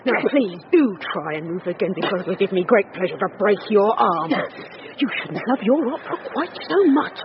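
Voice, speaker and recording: An actress playing a commanding, dryly witty police detective boss in a radio drama. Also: female voice, medium pitch, animated, moderate pace, natural voice. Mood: triumphant. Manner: dry, authoritative. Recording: noisy, dull radio drama recording.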